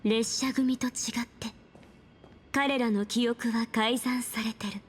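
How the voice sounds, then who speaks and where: A woman's voice: on the high side, fairly even intonation, brisk, slight background noise, natural voice. A woman playing a cool, enigmatic girl in an anime-style fantasy game. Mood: hushed, grave. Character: cool, enigmatic girl, mysterious double of the heroine.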